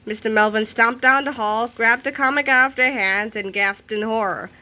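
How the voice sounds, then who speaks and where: A woman storyteller with a high, bright voice reads a children's book aloud. Her delivery is animated and aimed at young listeners. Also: moderate pace.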